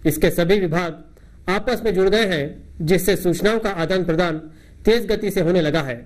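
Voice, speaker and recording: A man's voice: sits high, normal speed. A middle-aged man reading scripted news as a presenter, in a formal headline style.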